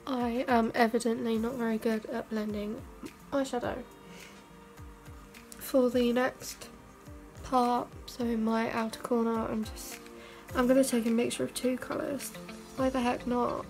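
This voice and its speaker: A young woman, a beauty vlogger, chatting casually through a makeup test in her bedroom; she sounds croaky and stuffed up from a cold and rambles with self-mocking asides. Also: fairly high pitch, little pitch movement, unhurried, a little mellow, soft background music. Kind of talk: casual makeup tutorial chat. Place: bedroom, indoors. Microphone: camera mic at arm's length.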